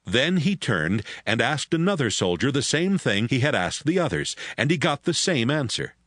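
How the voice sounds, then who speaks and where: A man's voice: medium pitch, big pitch swings, normal speed, fairly bright tone, dry recording. A man narrating a dramatized audiobook as a scripted storyteller. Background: music.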